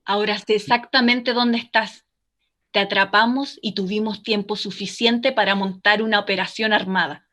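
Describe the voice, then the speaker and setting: A woman's voice: medium pitch, steady tone, normal speed, bright, forceful tone, lightly acted. A woman in a radio drama playing a vengeful former student, accusing and declaiming dramatically. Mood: accusing, threatening. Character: vengeful young woman, former student turned armed caller. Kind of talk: Spanish-language radio drama acting.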